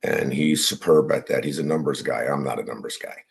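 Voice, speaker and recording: Very deep-voiced older man with a cold. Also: a little fast. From New Jersey. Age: 60s. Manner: frequent throat clearing. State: head cold. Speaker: business owner guest. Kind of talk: casual interview talk.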